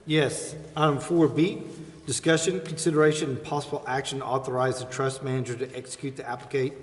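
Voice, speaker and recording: A man chairing a city council meeting, reading agenda items in a measured, formal way. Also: medium pitch, steady tone. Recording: echoey council chamber with faint noise.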